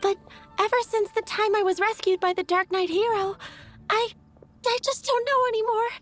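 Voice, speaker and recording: A woman's voice: very high-pitched, steady tone, normal speed, faint music behind. A woman voicing a dreamy, lovestruck young florist in a video game who talks in a wistful, flustered way.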